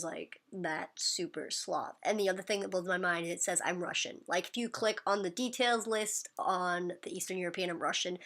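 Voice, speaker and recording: A woman's voice: on the low side, fairly bright tone. A woman talking casually to camera in a vlog, loose and chatty with lots of "like" and "um". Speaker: vlogger.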